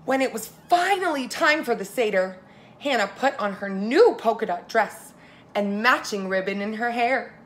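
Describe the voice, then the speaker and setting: A woman preschool teacher reading a story aloud to young children, expressive and speaking to them directly. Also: fairly high pitch, normal speed, a little hiss or hum.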